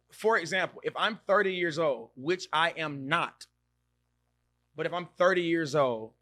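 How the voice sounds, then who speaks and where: A man in his thirties hosting a podcast, chatting casually and reacting loudly as he reads out viewer comments. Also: on the high side. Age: 30s. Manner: animated, exclaims and reacts loudly, repeats himself. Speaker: podcast host. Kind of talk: casual live-stream chat reading comments.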